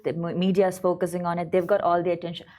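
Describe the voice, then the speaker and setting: A woman's voice: on the low side, level tone, very fast, mellow. Passionate woman co-host. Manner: passionate, emphatic. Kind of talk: casual studio chat.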